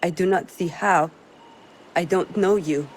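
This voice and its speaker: A woman's voice: on the low side, measured pace, slight background noise, lightly acted, poor audio. An actress voicing a frantic, tearful widowed mother in a game. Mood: wary. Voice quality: tearful, pleading.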